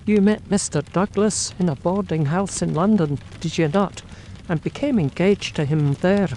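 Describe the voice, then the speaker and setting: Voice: medium pitch, normal speed, mellow, slight background noise, natural voice, low-quality recording. A performer playing a polite, probing police inspector in an audio drama, with formal and courteous questioning.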